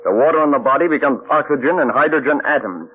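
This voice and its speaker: An actor playing a cold, measured male mad scientist who threatens quietly, in an old radio drama heard as a tinny broadcast. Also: mid-range voice, expressive, slight background noise, close to an everyday voice. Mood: menacing. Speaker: villain inventor. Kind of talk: 1940s radio acting.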